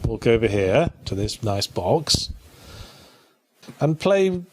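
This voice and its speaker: A man's voice: lowish voice, very animated, slow, slightly rough. A man giving casual, comedic game commentary in a dry, wry and wordy manner. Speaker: host of a retro game commentary show.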